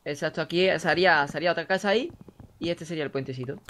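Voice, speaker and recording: A man's voice: a little high, racing, fairly bright tone, slight background noise. A man on voice chat, giving short, agreeable replies while playing a game. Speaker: co-player.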